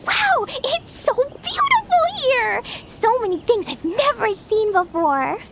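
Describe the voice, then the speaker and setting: A sweet, wide-eyed little girl from a video game. Her tiny voice is extremely high and swoops around, drawn out slowly, with faint noise behind it. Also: sing-song, fairly bright tone, exaggerated voice. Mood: awed, delighted. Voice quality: tiny, childlike. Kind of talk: video game character acting.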